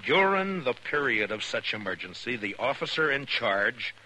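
An actor playing a firm, dry Old West marshal in a 1950s radio western, speaking with deliberate authority. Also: male voice, mid-range voice, animated, sharp tone, poor audio. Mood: determined. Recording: hissy 1950s radio broadcast.

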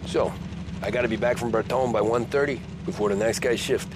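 An actor playing a confident young gangster in a video game, laconic and easygoing. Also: male voice, mid-range voice, fairly quick, noisy background, natural voice. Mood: cool, businesslike. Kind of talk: cinematic video game dialogue.

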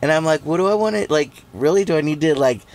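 A man's voice: a little high, lively intonation, quick pace, darkish tone. A man in his late forties, a podcast guest, telling stories in a loose, rambling way with lots of fillers, at a studio mic. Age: 40s. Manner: laid-back, rambling storyteller with lots of fillers. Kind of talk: casual chat. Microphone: studio mic on boom.

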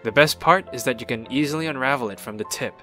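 A man playing a dry, reserved young man in a cartoon comic dub, with a terse, deadpan way of talking. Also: mid-range voice, quick pace, faint music behind, natural voice. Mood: calm, curious. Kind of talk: animated comic dub acting.

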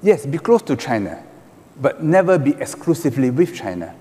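A man on a conference panel, arguing his points in a measured, emphatic way with hand gestures. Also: medium pitch, sing-song, dark tone. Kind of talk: panel discussion. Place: large conference hall stage. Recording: reverberant conference hall.

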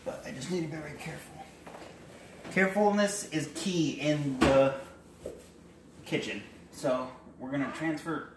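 A young man with a slightly high voice talks his way through a home cooking demo, rambling and correcting himself. He's filmed on a phone in an echoey kitchen, with faint clatter of dishes behind him. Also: measured pace, long pauses, noisy background. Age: young adult. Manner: rambling, self-correcting, chatty asides. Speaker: cooking vlogger. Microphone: handheld phone camera.

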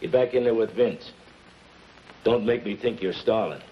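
A man's voice: on the low side, normal speed, close to an everyday voice. An actor playing a tough, practical henchman with clipped, hard-boiled talk in a 1950s television crime drama. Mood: pressing, threatening. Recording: muffled, hissy 1950s TV soundtrack.

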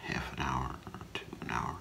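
A middle-aged man doing a whispered ASMR role play as a gemologist, speaking in a hesitant, halting, softly reassuring way. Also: very deep voice, flat delivery, vocal fry, slight background noise. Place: small indoor room with curtain.

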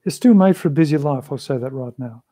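A man, answering interview questions in a measured, thoughtful way over a video call from a small room. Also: mid-range voice, dark tone, dry recording, clean studio sound. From Australia. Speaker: interview guest, Buddhist practitioner. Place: small room with a shrine, indoors.

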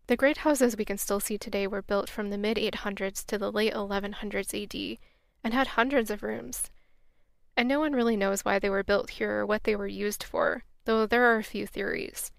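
A woman's voice: mid-range voice, vocal fry, smooth, dry recording. A woman hosting a podcast, reading scripted travel narration in a reflective, wry, earnest way, on a close mic. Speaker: podcast host.

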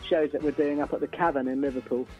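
A man with a fairly high voice gives a casual radio interview as a band's frontman. He starts phrases hesitantly, often repeating words, over a faint bed of music. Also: steady tone, fast talker, darkish tone, lo-fi sound. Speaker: band frontman, interview guest.

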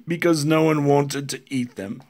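A man voicing a gentle, melancholy male owl in a storybook reading, his voice slightly raised and mournful. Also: a little high, fairly even intonation, moderate pace, lightly acted.

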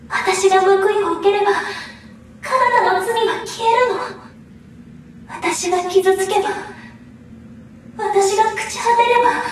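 A young woman playing an anguished, devoted, guilt-ridden girl in a Japanese anime game, speaking earnestly and haltingly. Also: very high-pitched, a little hiss or hum. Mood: anguished, pleading. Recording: game music behind the voice. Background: loud game music.

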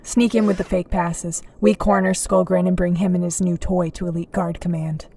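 A woman's voice: fairly low pitch, level tone, normal speed, mellow, slightly rough. A woman voicing a businesslike, commanding robot squad leader in a sci-fi cartoon. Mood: briskly commanding. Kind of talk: animated sci-fi character acting. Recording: noisy background.

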